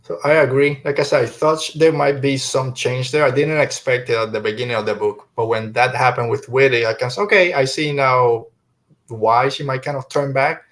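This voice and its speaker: A man speaks as a podcast host in an easy conversational style. His pitch is average, and he talks through his thoughts informally, like someone chatting with guests. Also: steady tone, a little fast, a bit bright. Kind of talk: casual podcast book discussion.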